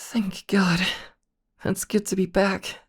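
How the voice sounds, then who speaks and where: A woman playing an affectionate young college woman in a soft ASMR audio roleplay. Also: mid-range voice, extremely slow, background noise. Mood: tender, relieved.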